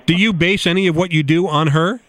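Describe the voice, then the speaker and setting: A man hosting a radio interview, asking chatty, halting questions full of restarts and joking asides. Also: on the high side, fairly bright tone.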